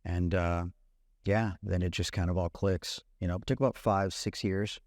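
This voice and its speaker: A man in his thirties, a guest content creator, talking in a relaxed way and often saying "you know" and "man". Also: low-pitched, moderate pace, dry recording, clean studio sound. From Puerto Rican family, raised in California. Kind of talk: casual interview chat.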